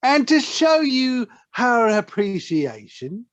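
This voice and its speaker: A woman's voice: a little high, big pitch swings. A woman playing a sly, falsely sweet weasel villain with an oily manner in an amateur audio play, recorded on a dull video call. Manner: oily, drawn-out insincerity.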